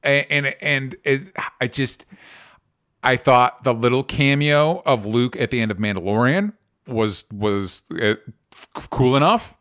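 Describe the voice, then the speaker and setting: Man, podcast co-host, casual chat, rambling, cursing, mid-pitched voice. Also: unhurried, fairly bright tone. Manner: rambling, restarts, swears freely.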